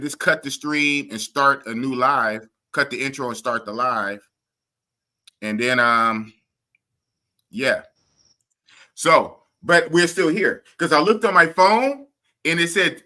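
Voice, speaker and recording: Sharp-toned, rambling male live streamer. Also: medium pitch. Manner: animated and rambling, restarts and repeats himself. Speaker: podcast and live stream host. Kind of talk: casual live stream chat.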